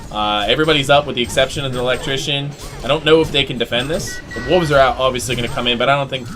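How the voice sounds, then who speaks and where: A man giving live play-by-play commentary on an online team game, excitable and chatty, his voice jumping up during fights. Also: mid-range voice, rapid-fire, music in the background. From United States. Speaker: esports play-by-play caster.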